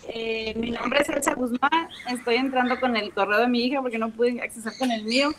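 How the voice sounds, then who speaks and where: A woman's voice: on the high side, steady tone, moderate pace, very noisy. A woman, hesitant and laughing nervously as she introduces herself. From Tijuana, Mexico. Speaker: student. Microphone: video call.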